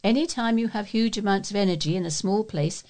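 A woman's voice: mid-range voice, brisk, a little mellow. A woman with a British accent reading local news and letters aloud as a volunteer newsreader.

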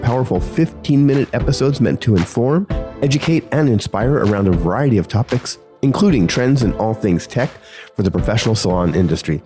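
A man hosting his own podcast alone, talking through a topic in a relaxed conversational way with many ums and restarts, his voice gravelly from a cold. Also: mid-range voice. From United States. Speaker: podcast host. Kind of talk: solo podcast monologue.

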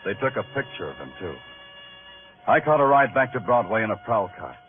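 A man's voice: fairly low pitch, big pitch swings, brisk. A hard-boiled American police detective from an old radio crime drama. He is weary and wry, with terse, brooding lines that swing expressively, on a narrow vintage recording with music. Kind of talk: 1950s radio crime drama acting, noir narration.

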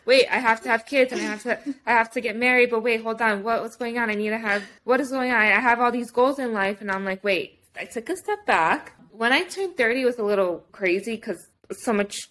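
A woman's voice: on the high side, a little fast, hardly any pauses, fairly bright tone. A woman in her thirties hosting a podcast, talking candidly and laughing easily in casual chat. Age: 30s. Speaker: podcast host.

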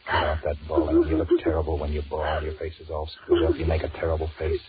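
An actor with an American accent playing a cowardly, irritable cheating husband in a 1940s radio drama, switching between muttered thoughts and halting sweet talk. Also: extremely low pitch, completely flat delivery. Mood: exasperated, placating. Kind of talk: 1940s radio horror acting with inner monologue. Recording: muffled, noisy 1940s radio recording.

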